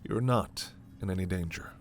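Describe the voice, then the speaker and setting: A man playing a formal alien scientist in a sci-fi ASMR roleplay, stern and authoritative yet reassuring, with measured, precise diction. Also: deep voice, slight background noise. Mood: measured explaining. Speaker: voice actor in audio roleplay.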